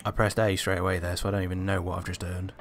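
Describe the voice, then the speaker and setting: A man's voice: sits low, little pitch movement, fast talker, vocal fry. Man commentating over game music. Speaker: game reviewer. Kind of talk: casual gameplay commentary, reading on-screen text aloud.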